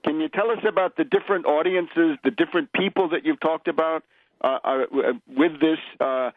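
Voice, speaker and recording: A man hosting a political radio interview, speaking in a measured, explanatory way with deliberate phrasing. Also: a little high, fairly even intonation, no echo. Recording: dull lo-fi radio audio.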